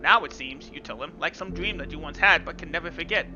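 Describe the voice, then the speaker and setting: A man's voice: a little high, quick pace. A man hosting a gaming video, reading game text dramatically with casual asides. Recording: dull lo-fi sound with game music.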